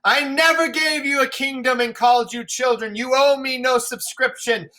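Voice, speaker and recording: A man voicing a raging old king who shouts defiance at a storm in slow, drawn-out declamation. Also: extremely high pitch, steady tone, voice pushed up.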